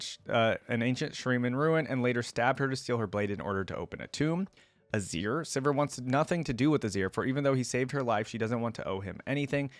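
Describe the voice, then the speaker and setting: A man hosting a casual online reaction video, reading wiki text aloud and thinking out loud in an informal way. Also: mid-range voice, no echo. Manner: informal, thinking aloud between read-out passages. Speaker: YouTube host reacting to game lore.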